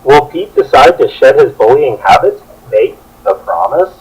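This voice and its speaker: A man's voice: medium pitch, expressive, moderate pace, darkish tone, breathy edge, rough recording. A young adult man reading out a scripted presentation as a student teacher. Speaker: student teacher presenting a children's book project.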